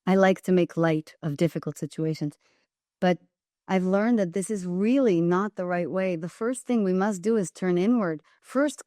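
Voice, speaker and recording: A woman's voice: medium pitch, darkish tone, no room sound. Emphatic Israeli woman, dry close mic. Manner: emphatic, measured, repeats phrases for weight. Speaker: guest. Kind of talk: podcast interview.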